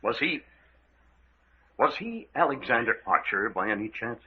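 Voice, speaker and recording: A man's voice: medium pitch, sing-song, measured pace, slightly rough. A man playing a terse, suspicious adventurer-investigator who probes directly and without fear, in old-time radio mystery style. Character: blunt, suspicious male adventurer-investigator, unafraid and insistent. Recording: dull, lo-fi radio drama recording.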